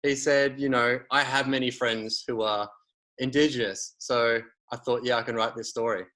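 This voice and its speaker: A man's voice: medium pitch, fairly even intonation, normal speed, sharp tone. A man, hosting a casual conversation and rambling with many fillers and restarts.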